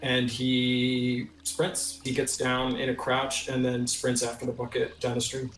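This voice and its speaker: Man, tabletop player improvising, very even pitch, echoey distant recording. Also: a little slow. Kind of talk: improvised roleplay narration. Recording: roomy echo, away from the mic.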